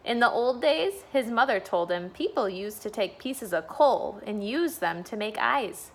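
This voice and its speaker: Lively woman reading a children's story. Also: fairly high pitch, a little slow. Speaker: school staff member reading a bedtime story. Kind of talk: storybook read-aloud for children.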